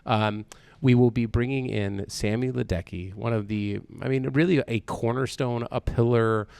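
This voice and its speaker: A man's voice: on the low side, gravelly, smooth, dry recording. A man in his forties hosting a studio interview, joking and rambling with lots of fillers and restarts. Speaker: podcast host. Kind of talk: casual interview chat.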